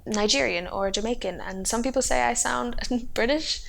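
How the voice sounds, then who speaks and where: A young woman from Ireland talking candidly to camera for a documentary, pausing often with hesitant fillers. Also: fairly high pitch, creaky, a bit bright, poor audio. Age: young adult. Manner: candid, hesitant with frequent ums. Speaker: documentary participant. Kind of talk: reflective personal testimony.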